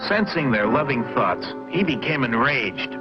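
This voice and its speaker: A man's voice: medium pitch, big pitch swings, unhurried. A man narrating a children's story in a conversational storytelling manner, speaking to young listeners, on a dull lo-fi soundtrack with music.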